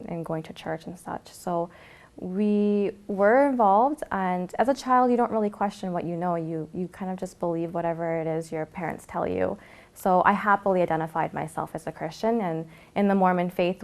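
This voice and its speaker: A young woman from Canada calmly telling her personal story, reflective and soft-spoken with frequent pauses and fillers. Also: lowish voice, vocal fry. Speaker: interviewee sharing her story. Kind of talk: personal testimony.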